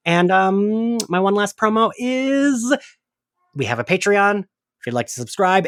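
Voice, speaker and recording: A man's voice: high-pitched, a bit bright, dry recording, clean studio sound. A man hosting a podcast in casual chat, conversational and peppered with "like" and tag questions. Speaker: podcast host.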